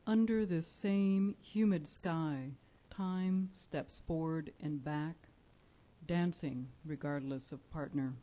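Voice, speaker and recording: Slow, mellow woman poet. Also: low voice. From Cuba. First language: Spanish. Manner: measured, deliberate, pausing often with soft fillers. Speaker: featured poet. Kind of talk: live poetry reading. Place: indoor live reading event.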